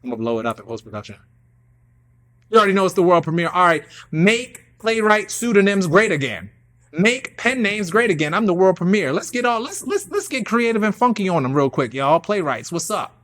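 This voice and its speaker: A man's voice: high voice, big pitch swings, fairly quick, fairly bright tone. Hype, slangy man chatting casually. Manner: hype-man slang, repeats phrases for emphasis. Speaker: playwright and performer. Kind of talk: casual self-promoting chat.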